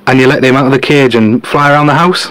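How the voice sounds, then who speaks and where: An actor playing a brilliant, dryly sarcastic male detective in a comedy audio drama, terse and deadpan. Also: mid-range voice, quick pace, no echo, lightly acted, poor audio. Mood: matter-of-fact.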